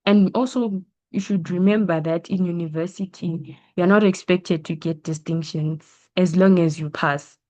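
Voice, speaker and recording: A young woman talks a school pupil through study choices. Her voice sits low and she stays patient and reassuring, pausing on ums. The sound is dull and lo-fi. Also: fairly even intonation, darkish tone. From South Africa. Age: young adult. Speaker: science student answering questions. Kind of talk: casual advice session. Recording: dull lo-fi audio.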